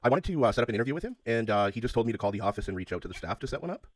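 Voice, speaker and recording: A man making a phone call, speaking in a halting, hesitant way, polite but persistent. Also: medium pitch, sing-song. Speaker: caller, self-described media interviewer. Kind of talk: phone conversation.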